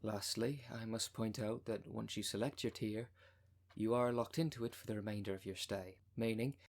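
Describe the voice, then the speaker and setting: A man's voice: lowish voice, fairly even intonation, moderate pace, lightly acted. A man voicing a scheming female cult recruiter in an audio roleplay, speaking with formal, businesslike politeness and dry condescension. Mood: polite, businesslike.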